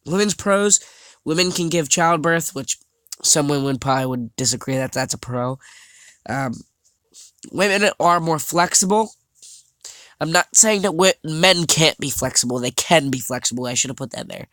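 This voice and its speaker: A young man vlogging over slides, talking haltingly with many fillers and restarts, his voice a little scratchy and his nose stuffed up. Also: on the high side, a bit bright, no room sound. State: congested, slightly scratchy voice. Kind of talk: casual talk over slides.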